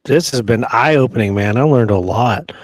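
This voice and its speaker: A man co-hosting a show in casual, excited, slangy conversation. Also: mid-range voice, normal speed, dry recording. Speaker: show co-host.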